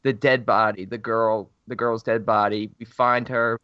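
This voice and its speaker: A man's voice: lowish voice, a little slow, no echo, dull audio. A man co-hosting a horror movie podcast, chatting casually and laughing often between points. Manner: laughs often, lively banter. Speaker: podcast co-host. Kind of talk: casual chat.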